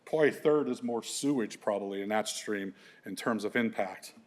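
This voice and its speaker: A man's voice: lowish voice, moderate pace. A middle-aged man, presenting slides to an audience in a loose, conversational way with lots of fillers and restarts. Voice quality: big voice. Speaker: environmental consultant presenting to an audience.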